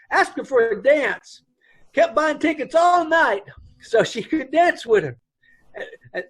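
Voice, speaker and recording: An actor playing a nervous middle-aged dance hall owner who rambles in loose, ungrammatical speech, in an old-time radio drama recreation. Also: male voice, very high-pitched, exaggerated voice.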